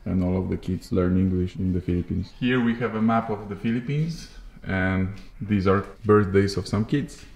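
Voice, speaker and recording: A man's voice: sits low, darkish tone, low-quality recording. A man from Poland, chatting as a travel vlogger while walking through a remote village. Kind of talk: casual walking-tour commentary. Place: outdoors in a hot remote village.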